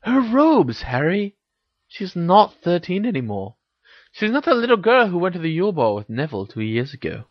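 A man voicing a clever, knowing teenage witch in a story reading, explaining carefully with gentle chiding. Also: sits high, very animated, normal speed, dry recording, highs cut off, pitched above the performer's own voice.

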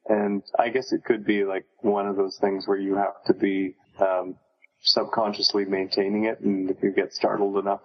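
A man's voice: fairly low pitch, slightly rough. A man co-hosting a casual book discussion, musing aloud in a tentative way. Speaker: podcast co-host. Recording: dull, echoey lo-fi sound; long echoey reverb.

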